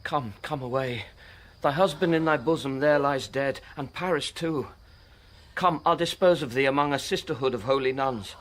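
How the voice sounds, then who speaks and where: An actor playing an older, anxious friar in a classical radio drama, formal and urgent. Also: male voice, mid-range voice, moderate pace, a bit bright, natural voice. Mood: urgent pleading. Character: kindly old friar, anxious and horrified.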